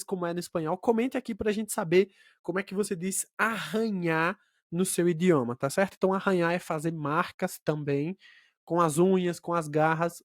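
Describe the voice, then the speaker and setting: A man with a high-set voice chats in a friendly way as co-host of a language lesson and sets up examples. The sound is close and dry, with no room around it. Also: no room sound. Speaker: podcast co-host and language teacher. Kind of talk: casual teaching chat.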